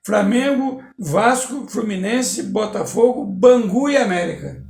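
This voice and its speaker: A man's voice: sits high, animated, unhurried, vocal fry, darkish tone. An older man with a Brazilian accent, a talk-show guest reminiscing casually and listing old names from memory, in an echoey room. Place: TV studio.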